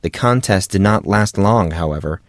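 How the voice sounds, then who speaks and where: A man narrates a scripted story for an audiobook. His voice sits fairly low, and the recording is dry and close. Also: moderate pace, no echo.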